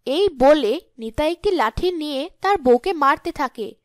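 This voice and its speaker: A woman's voice: high voice, animated, measured pace, no echo, studio quality. A woman reading scripted storytelling narration for a cartoon.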